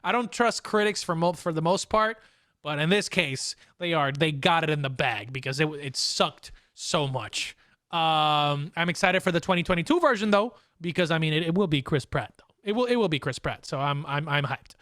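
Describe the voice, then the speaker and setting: A man hosting a casual podcast, talking excitably and repeating phrases for emphasis. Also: on the high side, normal speed, no echo, clean studio sound. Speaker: podcast host.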